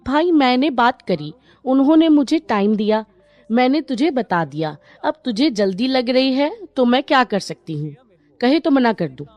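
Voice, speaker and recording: A woman voicing a brisk, chatty, matter-of-fact female relative who arranges matches in an animated story. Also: high voice, dry recording, highs cut off. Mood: brisk, miffed. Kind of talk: animated Hindi moral story dialogue.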